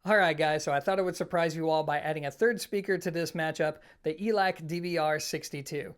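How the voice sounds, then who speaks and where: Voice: medium pitch, brisk, clean tone. A reviewer presenting an informal product comparison, explaining things conversationally and speaking directly to viewers. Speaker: host of an audio equipment review channel.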